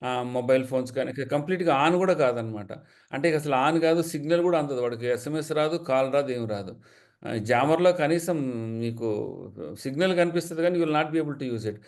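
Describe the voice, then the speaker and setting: A man's voice: a little high, a little fast. A man with a Telugu accent explaining as an expert, mixing in English technical terms.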